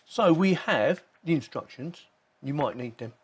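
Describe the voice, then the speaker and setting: A man's voice: a little high, expressive, unhurried, slight background noise. Man chatting through a casual review. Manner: informal, rambling, thinking aloud. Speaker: host of a tech review channel. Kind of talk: casual hands-on unboxing and review chat.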